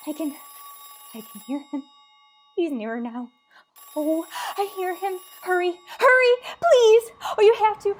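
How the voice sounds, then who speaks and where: A woman's voice: extremely high pitch, measured pace, soft background music. A woman playing a nervous, imperious invalid in an old-time radio thriller, agitated and pleading. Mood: panicked. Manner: demanding, agitated, breathless pleading.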